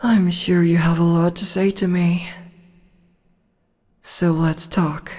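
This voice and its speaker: A woman voicing a regal, amused female demon empress in an ASMR roleplay, talking softly and teasingly with whispered asides. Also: fairly low pitch, flat delivery, takes it slowly, long reverb tail. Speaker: voice actor.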